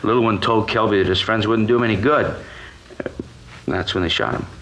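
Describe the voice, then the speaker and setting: A man's voice: on the low side, brisk, fairly bright tone, low-quality recording. A male actor playing a nervous, colloquial American kitchen worker giving a witness account in a 1950s radio crime drama. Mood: anxious recounting. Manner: hesitant, colloquial, defensive. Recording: old radio recording with background hiss.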